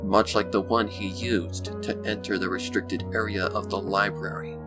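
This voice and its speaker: A man reading a scripted dark adventure story as its narrator, in audiobook style. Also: fairly low pitch, little pitch movement, normal speed. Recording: music bed behind the voice. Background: loud background music.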